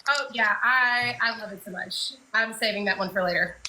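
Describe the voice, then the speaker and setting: A woman in her thirties co-hosting a live video call chat, gushing and excitable. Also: mid-range voice, normal speed, slight background noise, poor audio. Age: 30s. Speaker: podcast co-host.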